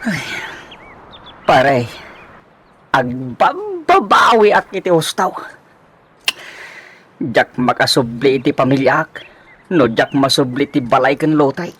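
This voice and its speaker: A man's voice: mid-range voice, big pitch swings, takes it slowly, long pauses, a bit bright, husky, heavily acted. An actor playing a remorseful man who lost his family and house, pleading and stammering in a radio drama. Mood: regretful. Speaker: lead character. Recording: faint background noise.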